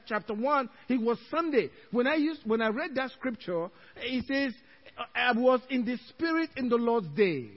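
A Nigerian-accented male pastor with a notably high voice preaches to his church, quoting scripture emphatically and breaking into chuckling asides. The recording is dull and lo-fi. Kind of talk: evening church sermon. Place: church sanctuary.

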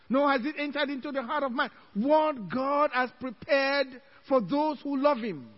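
A middle-aged Nigerian pastor with a Nigerian accent, preaching an evening sermon with emphatic scripture quotes and chuckling asides to his congregation. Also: extremely high pitch. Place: church sanctuary. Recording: dull lo-fi church recording.

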